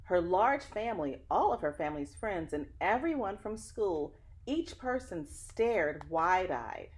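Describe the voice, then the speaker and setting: A woman with a lowish voice reads a picture book to children. Her intonation swings widely and her phrasing is dramatic. She addresses young listeners directly, in the way of a teacher at story time. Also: big pitch swings, measured pace. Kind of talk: children's read-aloud storytelling with asides to listeners.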